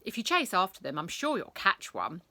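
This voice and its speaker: A woman's voice: mid-range voice, sing-song, normal speed, sharp tone, dry recording, full character voice. A woman voicing a cheeky schoolgirl trickster from a storybook, slyly polite and mock-innocent. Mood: egging on.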